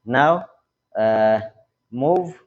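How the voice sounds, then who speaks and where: Slow-paced man giving a halting tutorial, muffled audio. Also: medium pitch, lively intonation. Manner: instructional, halting delivery in short phrases. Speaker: tech tutorial host. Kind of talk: hands-on step-by-step product tutorial.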